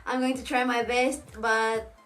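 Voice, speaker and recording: High-voiced woman with a Bulgarian accent. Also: little pitch movement, a little slow, a bit bright, faint music behind. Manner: chatty, reads viewer questions aloud, laughs often. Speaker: makeup vlogger. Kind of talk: casual Q&A chat.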